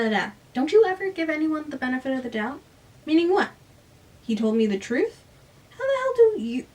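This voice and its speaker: A woman's voice: a little high, recorded from a distance, pitched above the performer's own voice, close to an everyday voice. A woman doing a pushy, teasing girlfriend. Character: blunt young woman friend, teasing and pushy.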